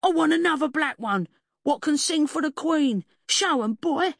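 A woman's voice: high voice, lively intonation, moderate pace, dry recording, studio quality, voice pushed up. A woman voicing a thin, aggrieved English man in an audiobook story, squealing and breathless with agitation. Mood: agitated. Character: thin, squealing, excitable aggrieved male dog owner.

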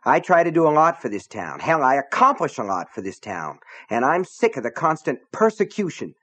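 A man's voice: medium pitch, big pitch swings, fairly quick, sharp tone, husky, no echo, pitched above the performer's own voice, exaggerated voice. A man doing a blustering burly selectman. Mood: angry. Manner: blustering, sulky. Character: burly hot-tempered middle-aged male selectman.

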